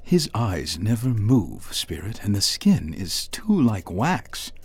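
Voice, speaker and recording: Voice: mid-range voice, slow, husky, full character voice. An actor playing a curious, nervous man who is wondering at strange sights and growing uneasy in a radio drama. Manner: excitable, wondering, increasingly uneasy. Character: nervous, curious young man exploring the past.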